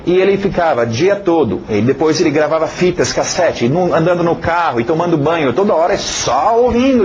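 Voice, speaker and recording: Animated male teacher. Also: fairly high pitch, slight background noise, rough recording. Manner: animated, repeats words for emphasis, frequent check-in tags. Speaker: English teacher. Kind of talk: informal spoken lesson.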